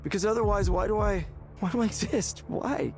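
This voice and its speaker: An actor playing a despairing, hollow man in a visual novel game, speaking haltingly and repeating words as he searches for them. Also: sits high, faint music behind. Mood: desperate, pleading. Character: despairing, hollow man confiding in a counseling session.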